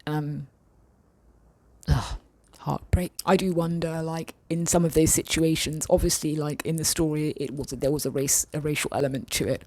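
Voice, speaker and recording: A woman vlogger chatting casually and thoughtfully with her viewers, often pausing and restarting her sentences. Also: sits low, steady tone, warm tone, no echo. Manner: thoughtful, hesitant, many fillers and restarts. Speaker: vlogger reading viewer comments. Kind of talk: casual chat.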